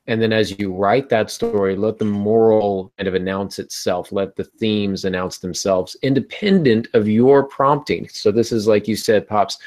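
A middle-aged man hosting a casual podcast discussion about writing over a video call. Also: fairly low pitch. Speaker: podcast host. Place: small home room, indoors. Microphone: earbud headset on a video call.

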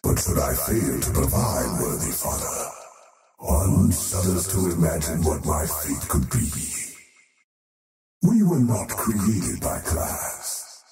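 Faceless void being, fantasy game villain, cold and arrogant, grandiose menace, slow pacing, widely swooping intonation. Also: male voice, sits low, wildly swooping pitch, dark tone, reverberant, distant mic, faint music behind, full character voice, rough recording. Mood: grandiose, ominous. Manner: grandiose, menacing, deliberate theatrical delivery. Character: faceless male void creature, cold arrogant oathbreaking acolyte of time. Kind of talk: scripted fantasy video game character lines.

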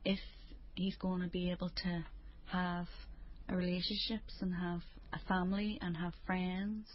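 A woman's voice: on the low side, flat delivery, a little slow, lo-fi sound, a little hiss or hum. A woman with a Northern Irish accent talking personally as a parent in an intimate conversation.

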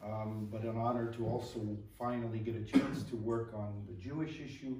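A man's voice: lowish voice, fairly even intonation, normal speed, warm tone, slight background noise. A middle-aged American man from upstate New York, speaking hesitantly with many fillers, then reading his poetry aloud at a library event. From upstate New York, USA. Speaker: poet and translator. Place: library hall, indoors. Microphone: room mic from a distance. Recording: echoey room, distant mic.

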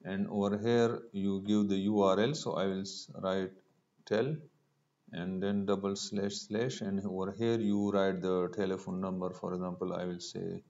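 A man giving a step-by-step screencast programming tutorial, halting as he thinks aloud while typing. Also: low-pitched, flat delivery, darkish tone. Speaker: programming instructor. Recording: dull lo-fi mic with noise.

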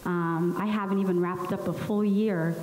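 A young woman from Somalia, speaking on a panel into a handheld microphone, telling her story slowly with many pauses and fillers. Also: fairly low pitch, steady tone. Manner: slow, many ums, soft laughs. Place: large room at a public panel event. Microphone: handheld mic. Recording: echoey hall with faint hiss.

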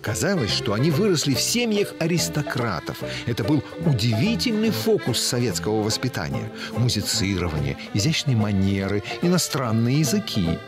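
A man's voice: mid-range voice, normal speed, few pauses. Dramatic male documentary narrator over music. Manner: expressive, dramatic storytelling delivery. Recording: voice-over with loud music bed. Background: background music.

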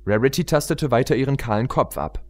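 A man's voice: medium pitch, expressive, a little fast. A man reading a story aloud as an audiobook narrator in a scripted narration style.

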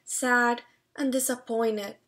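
A young woman reading a picture book aloud to children at home, deliberate and expressive, pausing to ask questions. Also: on the high side. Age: young adult. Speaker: read-aloud storyteller. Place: small room at home, indoors.

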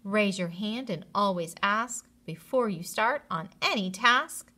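A firm but cheerful storybook teacher read by a woman, bright and lively in pitch. She instructs small children with emphatic delivery. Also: a little slow. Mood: upbeat instructing. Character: firm, cheerful female kindergarten teacher laying down rules.

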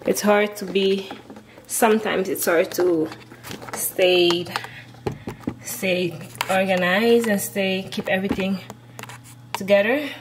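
Slow-paced young woman vlogging, echoey room. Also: medium pitch, fairly even intonation. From Aruba. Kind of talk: casual vlog chat to camera. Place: indoors at home, hard-walled kitchen and rooms. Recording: echoey room, some background noise.

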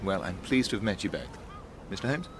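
An English actor playing a haughty, blustering titled villain in a radio drama, speaking formally. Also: male voice, sits low, lively intonation, brisk, noisy background. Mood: suave, relieved. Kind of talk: BBC radio drama acting.

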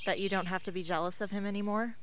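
A woman's voice: medium pitch, fairly even intonation, fast talker, noisy background, lightly acted. Woman playing a guarded, defiant young female rebel. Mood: wry. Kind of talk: radio drama acting.

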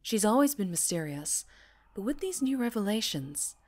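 A woman's voice: mid-range voice, very animated, moderate pace. Woman performing a female talk show host, mid-range voice, reassuring, articulate, presenter polish, dramatic ASMR roleplay. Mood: dramatic, probing.

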